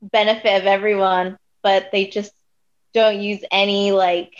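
A woman's voice: medium pitch, level tone, measured pace, lo-fi sound. A woman in casual discussion, rambling, with lots of fillers.

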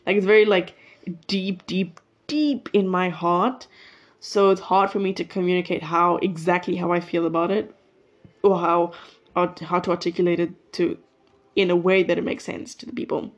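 A woman's voice: lowish voice, steady tone, moderate pace, highs cut off. A nineteen-year-old woman hosting her solo podcast, chatting casually and rambling, breaking off her own sentences. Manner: rambling, chatty, self-interrupting. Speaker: podcast host. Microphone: mic plugged into a phone.

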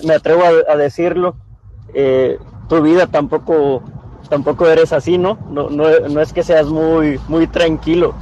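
A man hosting an interview conversationally, haltingly repeating words as he shapes his thoughts. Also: on the high side, steady tone, mellow. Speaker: interviewer, program host. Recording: dull lo-fi sound with background noise.

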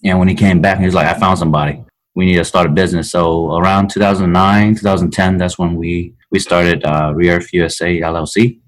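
A man in his thirties from Vietnam talks through his life story as a podcast guest. His voice sits very low, and he rambles in an easygoing way, full of fillers. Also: a little fast, poor audio. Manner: relaxed storytelling with many fillers. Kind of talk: casual chat.

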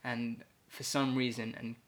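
Man, medium pitch, hesitant and reflective, quick to laugh. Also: flat delivery. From Ecuador and England. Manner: thoughtful, hesitant, many fillers and restarts, laughs easily. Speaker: poet, interview guest. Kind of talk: casual reflective conversation.

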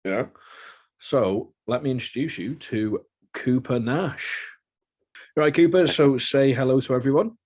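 A man's voice: medium pitch, unhurried, a little mellow. A British man hosting a podcast in a chatty, joking, rambling way. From Britain. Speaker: podcast host. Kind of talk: casual podcast chat.

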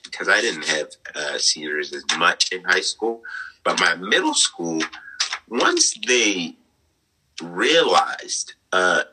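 A man in his twenties, a guest on an interview, talking earnestly about his life with many fillers and soft laughs over a remote call. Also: low-pitched, slightly rough, poor audio. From United States. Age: 20s. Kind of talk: conversational interview, personal story.

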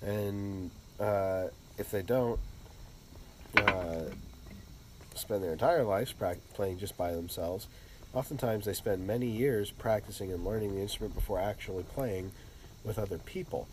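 A man hosting a laid-back podcast, his voice a bit low and thick with a head cold. He rambles easily with plenty of fillers, and there is a faint hum of noise behind him. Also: unhurried, rough recording. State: congested from a cold. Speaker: podcast host. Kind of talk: casual podcast chat.

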